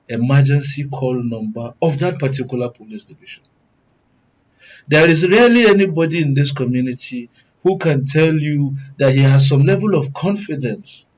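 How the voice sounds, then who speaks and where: A man with a Nigerian accent giving serious panel commentary in a deliberate, emphatic, oratorical way. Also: mid-range voice, a little mellow, slightly rough, dull audio. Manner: deliberate, emphatic, oratorical, repeats phrases for weight. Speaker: guest commentator, good governance advocate.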